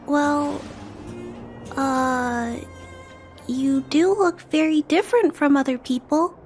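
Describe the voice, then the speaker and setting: An earnest little girl, high-voiced and unhurried. She is timid and kind-hearted, hesitating as she speaks in a video game scene with light music behind her. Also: a little mellow. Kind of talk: scripted game voice acting.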